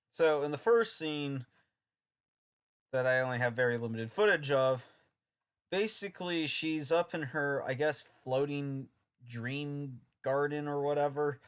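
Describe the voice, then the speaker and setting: A man's voice: mid-range voice, clear, resonant tone. A young man reviewing an old anime dub in a casual, sarcastic, rambling way.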